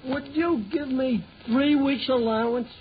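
A man's voice: very high voice, measured pace. A man playing a doubtful, protesting teenage boy in a 1940s radio sitcom. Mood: doubtful, reluctant. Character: cautious, reluctant teenage boy, Henry's friend Herbert. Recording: muffled, noisy 1940 radio recording.

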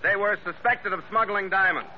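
A man's voice: high voice, normal speed, lo-fi sound, natural voice. Man acting hard-boiled skipper on noisy old radio. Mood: wry, easygoing. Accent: American. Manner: clipped, tough, wry delivery. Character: hard-boiled, wry seafaring ship's captain. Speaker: lead actor and narrator. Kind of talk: 1940s radio adventure acting.

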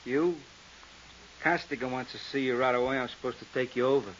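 A man's voice: mid-range voice, fairly even intonation, a little fast, lightly acted. An actor playing a thin, swaggering young henchman with slangy talk in a 1950s radio crime drama. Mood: cocky, menacing. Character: thin, tough-talking young hoodlum, cocky but easily cowed. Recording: hissy, muffled 1950s radio recording.

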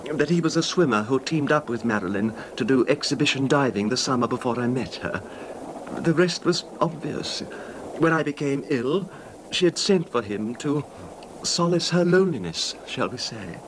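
An actor playing an ailing, betrayed husband who recounts his grievance in halting, formal radio drama style. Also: mid-range voice, lively intonation, lightly acted. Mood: wry, resigned. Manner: halting, formal, genteel delivery. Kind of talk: old-time radio drama acting, narrated recollection. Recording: noisy old radio drama recording.

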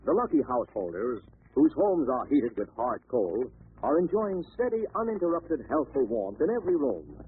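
Animated male old-time radio announcer. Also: mid-range voice, moderate pace, over music. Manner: emphatic, formal, declamatory. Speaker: announcer and narrator. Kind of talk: 1930s-40s radio announcing, scripted narration and sponsor read. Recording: tinny old radio recording, faint noise.

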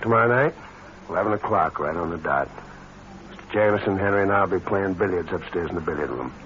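A low, smooth American schemer from an old radio crime play. He talks in clipped, hard-boiled slang with cold calculation. The recording is dull and slightly noisy. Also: quick pace, fairly bright tone. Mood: coolly calculating. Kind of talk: vintage American crime radio drama acting.

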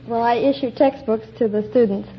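A woman's voice: a little high, fairly even intonation, a little fast. A woman of eighteen answering questions as a radio quiz contestant. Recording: dull, noisy vintage radio recording.

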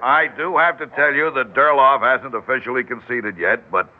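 A man's voice: medium pitch, normal speed, close to an everyday voice. A man playing a blunt, folksy, commanding older campaign boss in an old-time radio drama. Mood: announcing, pleased. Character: older male small-town campaign boss, blunt and avuncular. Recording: tinny, noisy old radio broadcast recording.

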